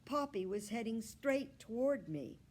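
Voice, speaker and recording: Unhurried, expressive woman reading a story. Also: fairly high pitch. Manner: expressive, deliberate narration. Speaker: library storyteller reading aloud. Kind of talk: children's story read-aloud.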